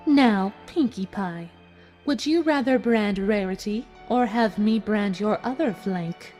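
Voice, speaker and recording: A woman's voice: mid-range voice, darkish tone, soft background music, pitched above the performer's own voice, lightly acted. A woman voicing a sadistic unicorn mare in a cartoon fan story, mocking and coldly pleasant. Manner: mocking, slow and deliberate.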